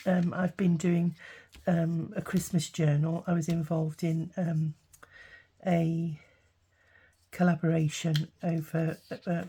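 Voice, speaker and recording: A woman with a cold, croaky from a sore throat, chatting through a craft tutorial with lots of ums and restarts. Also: low-pitched, mellow. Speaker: craft vlogger. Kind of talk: casual craft tutorial chat.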